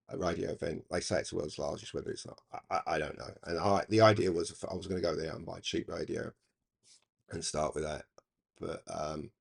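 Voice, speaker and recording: A man's voice: low-pitched, vocal fry, a little mellow, slightly rough. A middle-aged British man talking casually and unscripted as a hobbyist video host, rambling hesitantly and repeating words as he goes. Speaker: YouTube radio hobbyist host. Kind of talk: casual unscripted vlog talk.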